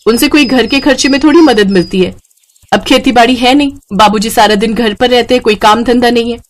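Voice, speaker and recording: A sharp-tongued village daughter-in-law from a family morality tale, put on by a woman. She complains bluntly and resentfully in a raised pitch. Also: a little fast, natural voice. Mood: resentful, complaining. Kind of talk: acted Hindi moral story dialogue.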